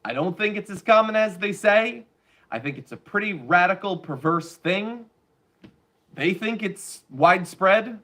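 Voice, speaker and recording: A man's voice: sits high, lively intonation, measured pace, sharp tone. A man hosting a political commentary show, giving blunt, sarcastic opinions on the news.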